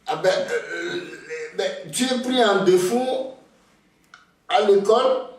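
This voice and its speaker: An older Togolese man whose first language is Ewe, speaking slowly and hesitantly, often repeating words as he starts a thought. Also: high voice, far from the mic, a crowd in the background. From Togo. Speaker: interviewee, elder. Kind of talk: slow reflective storytelling. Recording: very echoey room, voice off-mic.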